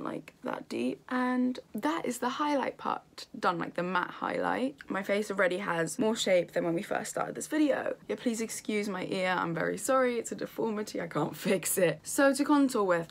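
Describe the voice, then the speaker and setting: A woman with a croaky voice giving a chatty makeup tutorial in her bedroom, full of "literally" and "like". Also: lowish voice. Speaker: makeup vlogger. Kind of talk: casual beauty tutorial.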